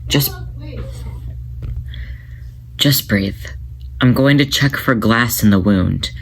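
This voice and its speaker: A man voicing a protective older male cat in a storybook reading, speaking softly and steadily. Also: medium pitch, takes it slowly, gravelly, a little hiss or hum. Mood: tender.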